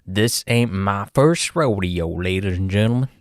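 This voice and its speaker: A man with a Texan accent hosts a casual livestream and walks through market charts in a folksy, chatty way. His voice sits a bit low. The sound is dry and close, with no room echo. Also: a little slow. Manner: folksy, repeats "ladies and gentlemen". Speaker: livestream host, market analyst.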